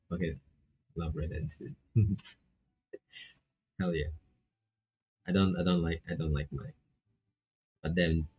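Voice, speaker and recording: A man's voice: deep voice, breathy edge, lo-fi sound. A man doing laid-back, casual live commentary on a visual novel, reading text aloud and swearing freely as he reacts.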